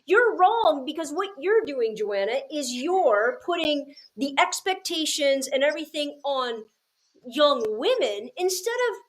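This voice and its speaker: A woman's voice: sits high, big pitch swings, measured pace. A woman hosting a podcast, speaking casually and reflectively in an earnest, emphatic way. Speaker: podcast host, educator.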